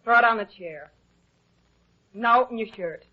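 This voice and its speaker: An actress playing a taunting, flirtatious gun-toting femme fatale in 1950s radio style. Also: female voice, fairly high pitch, normal speed, lightly acted. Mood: menacing, taunting. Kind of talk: 1950s hardboiled radio drama acting. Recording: muffled 1950s radio recording.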